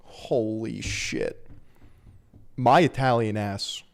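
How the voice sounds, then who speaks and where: A man's voice: on the low side, extremely slow, vocal fry. A man from the United States giving casual reaction commentary as a streamer, slangy and joking, swearing freely.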